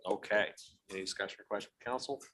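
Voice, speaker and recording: A man with a Midwestern American accent chairing a city council meeting, moving briskly through agenda items. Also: on the low side, fairly even intonation, fairly bright tone, slight background noise. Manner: brisk, procedural.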